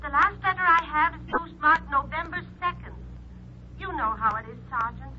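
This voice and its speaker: A woman's voice: sits high, normal speed, close to an everyday voice. An actress playing an anxious small-town mother in a 1940s radio drama, hesitant and stammering. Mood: worried. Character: anxious small-town mother phoning about missing daughter. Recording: muffled, noisy old radio recording.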